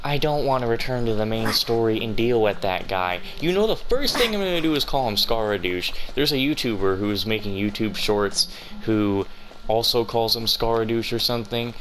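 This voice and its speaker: A man's voice: fairly low pitch, expressive, normal speed, a bit bright, slight background noise. A man giving casual gaming playthrough commentary in an informal, griping, sweary manner. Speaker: gaming video creator.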